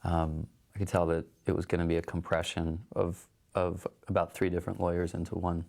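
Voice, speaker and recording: A man in a retrospective interview, telling in a relaxed, conversational way how he came to take a film role. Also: deep voice, a little fast, vocal fry. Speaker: actor recalling a film role.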